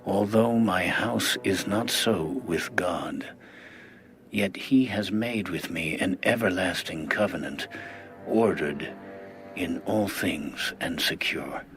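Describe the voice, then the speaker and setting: A man narrating scripture in a solemn, measured, dramatic manner. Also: sits low, fairly bright tone, faint music behind.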